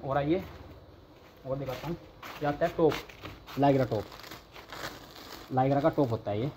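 A young man hosting a vlog, walking through a large warehouse and pitching clothing stock with eager salesman patter, filmed on a handheld phone. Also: normal speed, warm tone, slight background noise, rough recording. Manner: eager salesman patter, repeatedly urging viewers to look. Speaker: vlogger showing wholesale clothing stock. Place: large indoor warehouse full of clothing bales.

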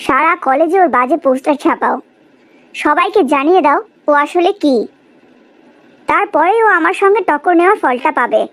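Woman acting spiteful college girl villain, extremely high voice, haughty, commanding, vengeful, cartoon drama. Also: normal speed, a bit bright. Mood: vengeful, indignant. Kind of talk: animated cartoon drama dialogue.

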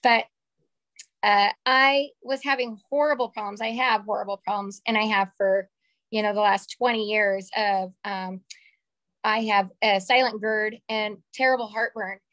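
A woman in her forties with a slightly raspy voice, sharing a candid personal story on a video call from a small room at home. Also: medium pitch, steady tone, a little slow, a bit bright, dry recording. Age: 40s. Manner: candid, chatty, gestures with her hands. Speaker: call participant. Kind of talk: casual personal testimonial. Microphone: webcam on a video call.

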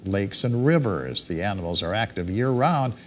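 A man reading local news stories as a television studio anchor, in a steady, measured delivery. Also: lowish voice.

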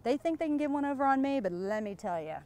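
High-voiced, flirty American glamour wife played by a woman. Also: lively intonation, fast talker, a little mellow. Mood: sassy, boastful. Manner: coy, gushing, suggestive, giggly. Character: flirty, giggly, boastful glamorous wife and policewoman. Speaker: interviewee in character. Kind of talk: improvised in-character mock interview. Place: outdoors beside a lake.

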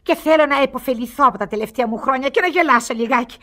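A woman's voice: high-pitched, bright, forceful tone. An actress in a radio play, playing a whimsical, bored aristocratic lady in her sixties with a theatrical, effusive manner. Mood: bored, mischievous.

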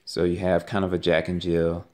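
A man gives a casual walking tour of an empty house as a realtor, talking haltingly with many ums and uhs, filmed on a handheld phone. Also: low-pitched, monotone, a little mellow. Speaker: realtor giving a home tour. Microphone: handheld phone camera. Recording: echoey empty rooms.

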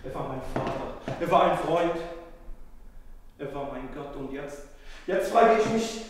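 A young prince grieves in a hushed, halting lament, as a man plays him on stage. The voice is slightly high and bounces around a very reverberant room. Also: lively intonation, far from the mic, noisy background. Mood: grieving. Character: grieving young prince mourning his father. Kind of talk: amateur stage acting. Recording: very echoey room.

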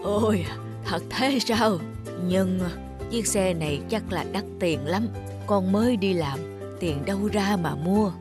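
A woman voicing a kind, frail old grandmother in a cartoon, speaking tenderly and gently. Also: medium pitch, slow pace, over music, lightly acted. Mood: touched. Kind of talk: cartoon voice acting.

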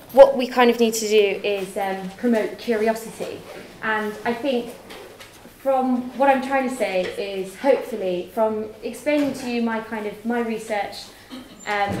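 A woman's voice: medium pitch, steady tone, normal speed, darkish tone, echoey room, slight background noise, poor audio. A young woman from Wales with a faint Welsh accent, giving a chatty, self-deprecating talk in a lecture theatre, full of fillers and restarts. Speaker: guest lecturer. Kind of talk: conference talk.

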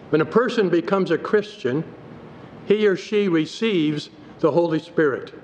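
An older man, a pastor, delivering a sermon at a pulpit in a church, reading scripture aloud from his notes in a measured way. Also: a little high. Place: church sanctuary. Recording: dull hall recording with faint noise.

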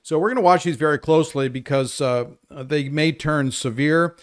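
A male weather presenter with a moderately high-pitched voice gives a live update. He talks off the cuff in a conversational manner, explaining as he goes. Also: normal speed. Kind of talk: live unscripted weather briefing.

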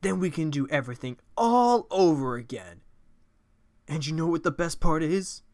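Man acting a gloating, manic monster villain, wildly swinging pitch. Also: lively intonation, normal speed, darkish tone, no echo, studio quality. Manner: taunting, emphatic. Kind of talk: video game character impressions.

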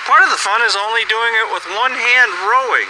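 A man's voice: very high voice, brisk. A man filming from a small boat while paddling, joking and teasing his friend. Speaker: filming the trip. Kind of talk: casual joking chat. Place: small inflatable boat at sea. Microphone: handheld camcorder. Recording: loud surf and wind noise on a camcorder.

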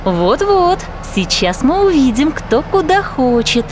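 A woman narrating a children's cartoon as host, explaining things in an animated storytelling way. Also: high-pitched, a little slow, over music.

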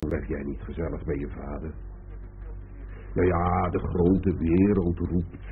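A man's voice: sits low, level tone, takes it slowly. A man playing a sardonic, weary middle-aged father in a radio drama, with dry, teasing irony. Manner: dry, ironic, hesitant asides. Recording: muffled narrowband old radio recording, faint noise.

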